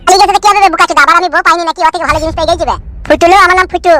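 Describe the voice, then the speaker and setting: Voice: extremely high pitch, fairly even intonation, rapid-fire, fairly bright tone. A performer voicing a brash, foul-mouthed young village man who bickers and taunts in a comedy cartoon. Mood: boastful, angry. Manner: taunting, swearing, slangy rural speech.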